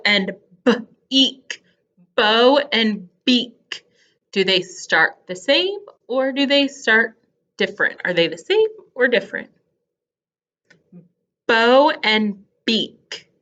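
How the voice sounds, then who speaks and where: Bright-voiced woman teaching young children. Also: high-pitched, dull audio. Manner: deliberate, sounds out words in separated pieces; instructive, repeats questions and checks understanding. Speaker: early elementary teacher. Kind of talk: phonics lesson addressed to young children.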